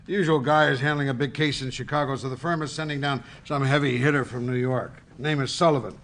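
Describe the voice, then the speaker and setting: An actor playing a gruff, impatient newspaper editor who talks brusquely and swears, in a naturalistic audio drama. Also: male voice, mid-range voice, fairly even intonation, fast, fairly bright tone, natural voice. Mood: pressing.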